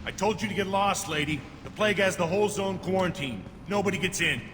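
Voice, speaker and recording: An actor voicing a gruff, dismissive alien guard in a video game, curt and condescending. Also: male voice, high-pitched, brisk, natural voice. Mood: firm, raised. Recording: long reverb, background noise.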